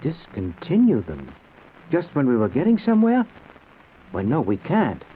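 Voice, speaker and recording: A man's voice: medium pitch, huge pitch swings, normal speed, narrow, tinny audio, slight background noise. An actor playing an obsessed, nervously intense middle-aged man, speaking earnestly and dramatically in a 1940s style radio drama. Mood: dismayed. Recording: thin old radio recording.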